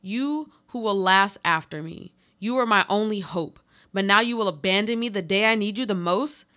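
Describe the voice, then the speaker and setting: A woman's voice: medium pitch, normal speed, bright, forceful tone, natural voice. A woman playing a desperate trapped woman, pleading and reproachful in a dramatic radio play monologue.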